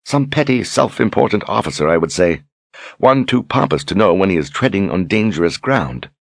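A haughty older sorceress drips contempt with each phrase, as a man puts her on. The voice sits well above his own and carries a husky edge. It is a dry, close storybook reading. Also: low voice, big pitch swings, brisk, no echo, voice pushed up, heavily acted. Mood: scornful. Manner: mocking, condescending. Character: haughty older female sorceress, sharp-tongued.

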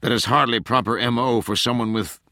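A man voicing a domineering female senior presidential advisor, raspy, stern and cutting, in a dramatized audiobook. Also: mid-range voice, fairly even intonation, brisk, pushed and bright, no echo, studio quality, voice pushed up. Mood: suspicious, officious.

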